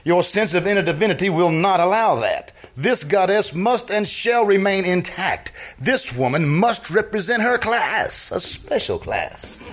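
A man's voice: sits high, very animated, unhurried, hardly any pauses. A man acting a suave, needling ex-husband with a wry, glib, mocking manner, in 1940s radio comedy style. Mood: cutting, earnest.

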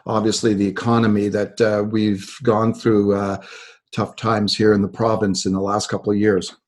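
A man's voice: lowish voice, little pitch movement. Polished male podcast host. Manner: polished, measured interviewer delivery. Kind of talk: scripted intro then interview questions.

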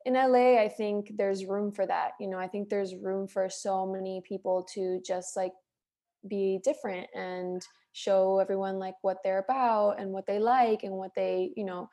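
Casting: female podcast host, even monotone, encouraging. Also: medium pitch, normal speed. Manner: encouraging, asks follow-up questions. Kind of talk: casual interview chat.

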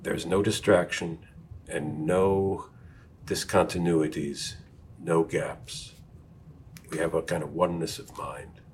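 A man's voice: low-pitched, fairly even intonation, slow, a little hiss or hum. A man who teaches, hosting an academic conversation and asking reflective, probing questions. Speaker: teacher, discussion host.

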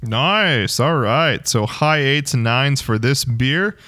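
A man's voice: medium pitch, animated, slow pace, pushed and bright, no echo, studio quality. A man co-hosting a casual chat, informal and agreeable, with encouraging remarks for his partner. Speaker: co-host.

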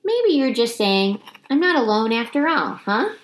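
A woman's voice: fairly high pitch, big pitch swings, normal speed, pitched above the performer's own voice. A woman voicing a friendly, chatty male night janitor in a storybook, jovial and playful. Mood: kindly.

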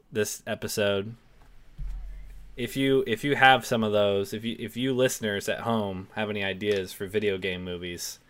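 A man in his twenties co-hosting a casual podcast, joking about himself and laughing often. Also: on the low side. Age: 20s. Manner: lively, self-deprecating, laughs often. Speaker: podcast co-host.

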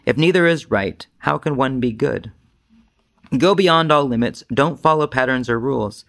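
A man's voice: medium pitch, expressive, moderate pace, gravelly, fairly bright tone. A man speaking as a Zen teacher in an informal dharma talk, with a self-deprecating, rambling manner.

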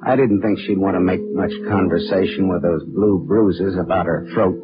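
An actor playing a tough, wry American private detective, deadpan and wisecracking, in hardboiled 1940s radio drama style. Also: male voice, sits low, normal speed, natural voice. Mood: wry, brooding. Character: wry, tough male private detective narrating his case. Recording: muffled old radio broadcast with music.

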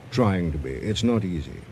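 An actor playing a kindly, gently awkward male teacher in a radio drama, earnest and hesitant. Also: deep voice, expressive, unhurried. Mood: gentle, reflective. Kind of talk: 1980s radio drama acting. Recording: noisy vintage radio drama recording.

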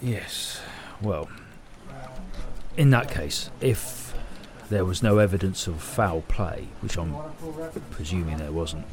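An actor playing a dismissive police desk officer in an audio drama, formal, measured and patronising. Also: male voice, deep voice, a crowd in the background. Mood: officious.

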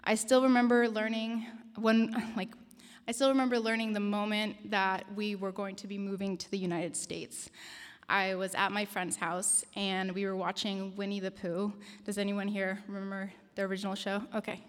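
A young woman from Denmark giving an earnest, personal pre-sermon talk with measured pauses, in a mosque prayer hall. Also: medium pitch, little pitch movement. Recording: reverberant hall.

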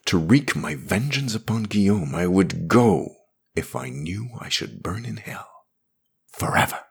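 A man's voice: sits low, big pitch swings, a little slow, gravelly, husky, full character voice. A man voicing a vengeful, determined warrior woman with a firm, defiant, intense manner. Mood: fierce defiance.

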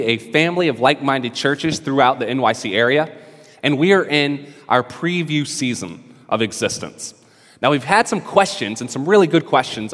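A man from North Carolina with a Southern accent, preaching casually to his church while raspy from a cold. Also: medium pitch, fairly bright tone, long reverb tail. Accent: Southern American. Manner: casual, conversational preaching with frequent restarts. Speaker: pastor. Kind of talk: sermon. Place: church service in a hall.

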